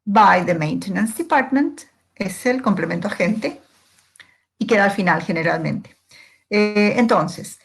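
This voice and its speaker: A woman's voice: mid-range voice, gravelly, breathy edge. A woman teacher giving a step-by-step grammar lesson in a didactic way, posing questions and answering them herself. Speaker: English teacher.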